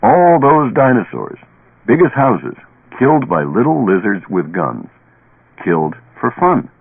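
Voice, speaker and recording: An actor playing a streetwise, sardonic barroom storyteller in colloquial, dramatic radio-drama narration. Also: male voice, mid-range voice, very animated, a little slow, vocal fry, breathy edge, narrow, tinny audio, heavily acted. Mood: somber. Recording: band-limited old radio recording, echoey.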